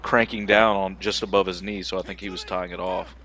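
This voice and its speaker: A man's voice: deep voice, flat delivery, fairly quick, bright, forceful tone. A man chiming in with dry wisecracks during a video game commentary. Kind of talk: casual banter.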